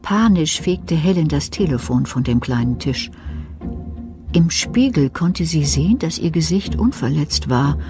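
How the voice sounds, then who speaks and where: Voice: medium pitch, lively intonation, a little slow, music in the background. A narrator giving a dramatic scripted reading in a German radio thriller.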